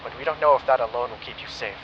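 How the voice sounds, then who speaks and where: A man's voice: medium pitch, quick pace, highs cut off, background noise, lightly acted. A man playing a tired, caring ally. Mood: concerned.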